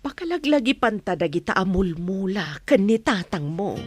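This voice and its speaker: A woman's voice: mid-range voice, very animated, moderate pace, a little mellow, soft background music, close to an everyday voice. A woman with an Ilocano accent playing a plain-spoken village woman who sells goods, in a Filipino comedy radio drama. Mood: earnest, motherly.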